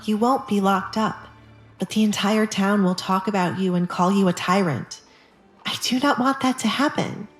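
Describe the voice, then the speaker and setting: A young noblewoman as a woman acts her out speaks in a raised, earnest voice. She is anxious yet determined and pleading, in an audio romance drama over soft music. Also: mid-range voice, roomy sound, voice pushed up, lightly acted.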